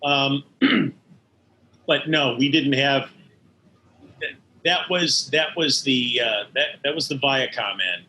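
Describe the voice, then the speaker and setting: An older American man recalling his work as an interview guest, in a halting way that circles back over words. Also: medium pitch, bright, forceful tone, a little hiss or hum, poor audio. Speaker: interview guest, animation studio veteran. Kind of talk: conversational interview recollection.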